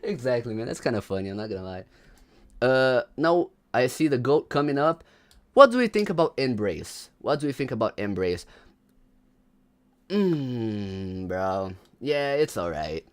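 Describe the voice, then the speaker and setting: Man, young adult, livestream host, animated, very lively pitch. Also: a little slow. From Brazil. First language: Portuguese. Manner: animated, slangy, chats with viewers. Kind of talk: casual livestream commentary.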